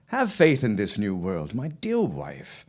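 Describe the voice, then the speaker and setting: Man playing self-assured period farmer, low voice, wildly varied intonation, formal theatrical phrasing, dismissive, audio drama. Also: huge pitch swings, takes it slowly, heavily acted. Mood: reassuring. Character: confident, dismissive early 1900s farmer husband.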